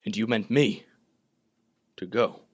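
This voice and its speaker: A man playing a sober, weary educated survivor in a radio play, speaking in measured, formal, solemn phrases. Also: fairly low pitch. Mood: grave, questioning. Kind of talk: radio play dramatic narration.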